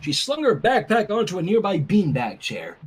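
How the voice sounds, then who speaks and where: A fan fiction reader narrating a story in an animated style with casual asides. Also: medium pitch, moderate pace, slightly rough.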